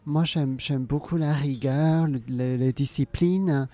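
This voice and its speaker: A woman's voice: rumbling low, steady tone, slow pace, mellow. A woman from Hong Kong, a native Cantonese speaker, answering interview questions in French with an accent mixing Alsatian and English, hesitating often with many fillers. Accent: Hong Kong and Alsatian tinged French. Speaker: comedian guest.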